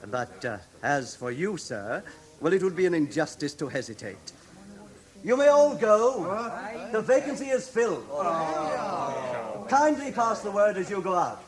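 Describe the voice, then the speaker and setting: Officious British official, slightly high voice, lively swooping intonation, pompous clipped declamation, radio drama. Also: male voice, measured pace, pauses often. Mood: effusive. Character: brisk, officious, pompous red-haired male league official.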